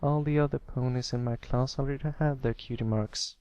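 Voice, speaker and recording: A man's voice: medium pitch, steady tone, fairly quick, warm tone, smooth, dry recording, highs cut off, pitched above the performer's own voice, natural voice. A man voicing an earnest, slightly hesitant young colt pony, an eager and wistful little brother in a read-aloud story. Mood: curious, wistful.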